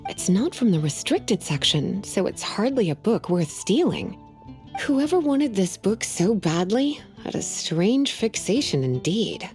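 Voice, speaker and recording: A woman's voice: medium pitch, big pitch swings, unhurried, a little mellow, soft background music. A woman playing a flirtatious, self-assured librarian sorceress in a video game, teasing and coy, with pet names for others.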